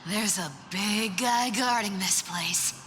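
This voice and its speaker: A woman's voice: medium pitch, slow pace, heavy background noise, close to an everyday voice. A woman playing a vain, bossy female rogue in a video game, haughty and playfully teasing. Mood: playful scolding. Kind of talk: video game character acting.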